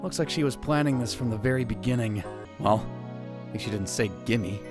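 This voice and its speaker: A man's voice: medium pitch, a little fast, lightly acted. A man playing an anxious, dramatic young conspiracy theorist who narrates a visual novel in a theatrical, self-questioning way. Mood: wry, dismissive. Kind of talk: first-person visual novel narration, acted. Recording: music bed under the voice. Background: background music.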